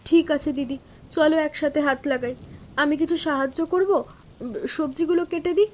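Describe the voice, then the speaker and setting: A woman playing a young, caring but anxious city-bred younger daughter-in-law in an animated family story, expressive and full of questions. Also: sits high, moderate pace, lightly acted. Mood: caring, eager.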